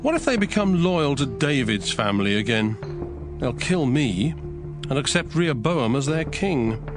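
A man's voice: medium pitch, big pitch swings, measured pace, over music. An actor playing an anxious, scheming king in a theatrical, emphatic scripture audio drama. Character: anxious, scheming king who commands and pleads.

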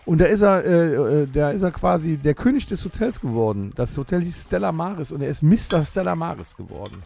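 A man in his forties with a fairly high, very lively voice that swoops up and down. He eagerly pushes his friends into activity after activity in a comedic podcast play. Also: a little fast, gravelly. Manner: eager, pushy about holiday activities. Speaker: podcast co-host. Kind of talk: comedic audio play banter.

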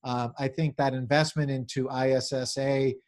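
A middle-aged man answering interview questions on a video call as a venue manager, in halting corporate phrasing with repeated words. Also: mid-range voice, little pitch movement, a little mellow. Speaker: convention center general manager, interview guest. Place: small office, indoors. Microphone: computer or webcam on video call.

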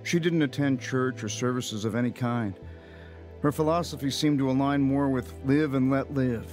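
An actor voices a reflective man narrating a radio drama in the first person. His mid-pitched voice is wry and measured, with faint music behind it. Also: steady tone, a little mellow, close to an everyday voice. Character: reflective man recounting his lonely childhood.

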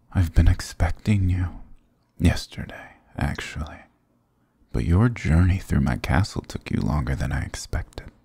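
A man's voice: dark tone, dry recording, full character voice. A man voicing a dominant, sarcastic demon king in an ASMR roleplay, teasing and menacing, close to the mic. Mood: smug, condescending. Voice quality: very deep, gravelly, husky. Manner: sarcastic, teasing, menacing purr with low chuckles. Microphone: close, dry microphone.

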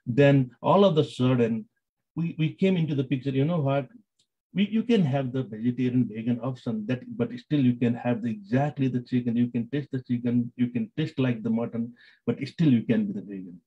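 A man with a Nepali accent answering interview questions in halting English, full of fillers and restarts. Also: medium pitch, slightly rough. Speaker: business executive guest. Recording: dull lo-fi sound.

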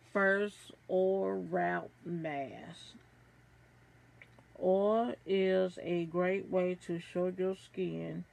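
Middle-aged woman, gravelly fry, halting product read-through at home. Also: on the low side, darkish tone. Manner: halting read-aloud, stumbling over names. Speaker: beauty box reviewer. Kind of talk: product review, reading aloud from an info card. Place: small room at home.

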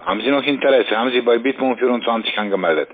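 Fast-talking salesman on tinny phone. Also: mid-range voice. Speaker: telephone sales caller. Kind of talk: sales cold call. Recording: tinny, narrow phone line.

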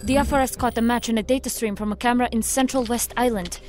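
Woman playing high-voiced, no-nonsense federal agent. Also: fairly even intonation, fast talker, a little mellow, soft background music, lightly acted. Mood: excited. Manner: urgent, commanding. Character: brisk, no-nonsense female federal special agent. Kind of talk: audio drama acting.

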